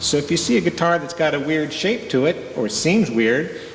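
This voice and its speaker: A man's voice: on the high side, lively intonation, moderate pace, slight background noise. A middle-aged man, a church musician, casually explaining things to children. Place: large church sanctuary, seated indoors. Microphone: stand microphone. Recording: echoey church hall, guitar behind. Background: acoustic guitar.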